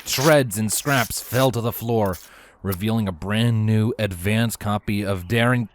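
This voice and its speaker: A man narrating a story from a script in an expressive, animated way with theatrical emphasis. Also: lowish voice, measured pace, noisy background. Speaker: audiobook-style narrator of fan fiction.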